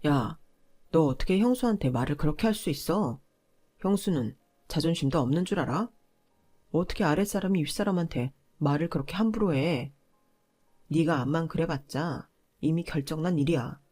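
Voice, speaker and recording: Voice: mid-range voice, normal speed, a little mellow, close to an everyday voice. A narrator voicing an arrogant, domineering young husband in a marriage story, scornful and confrontational. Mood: angry.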